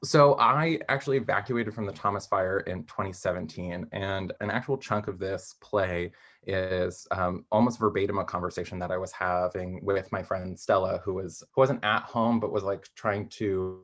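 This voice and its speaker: Low-voiced man giving hesitant interview answers. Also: fairly even intonation, moderate pace, a bit bright. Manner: hesitant, rambling, thinking aloud. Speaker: interviewed playwright.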